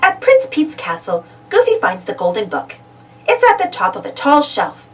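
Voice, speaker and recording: High, very animated woman storyteller. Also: moderate pace. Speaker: storybook reader. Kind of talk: animated children's read-aloud narration. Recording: distant mic, music behind.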